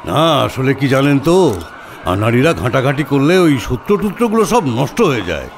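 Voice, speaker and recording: An actor playing a pompous, arrogant, portly police inspector in an audio drama, with a booming, blustery voice and haughty delivery. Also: male voice, sing-song, a little hiss or hum. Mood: brusque, condescending. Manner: haughty, theatrical, drawn-out questioning.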